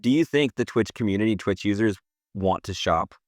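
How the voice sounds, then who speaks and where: A man hosting a podcast, talking in a relaxed, informal way and steering the chat. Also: fairly low pitch, a little fast, no room sound, clean studio sound. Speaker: host.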